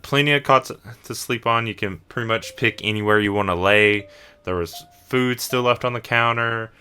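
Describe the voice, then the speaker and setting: A man's voice: lowish voice, normal speed, sharp tone, clean tone. A man narrating an improvised tabletop roleplay as the game master.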